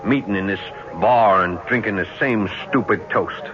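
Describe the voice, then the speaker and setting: An actor plays a bitter, vengeful man scheming a killing. His very low voice pushes with cold insistence. It comes through a dull, muffled old radio recording. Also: normal speed, crowd noise, lightly acted. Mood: grim. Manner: intense, coldly insistent. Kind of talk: 1970s radio drama acting.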